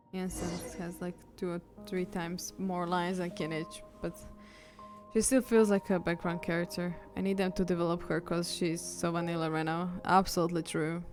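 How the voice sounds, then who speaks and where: Lower-pitched woman streamer chatting. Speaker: game streamer. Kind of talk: casual livestream chat and reaction. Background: game music.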